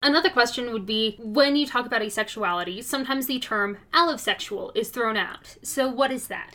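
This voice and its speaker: Bright-toned woman asking questions. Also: a little high, a little fast. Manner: asks questions, reads them out. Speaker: interviewer. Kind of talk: conversational interview.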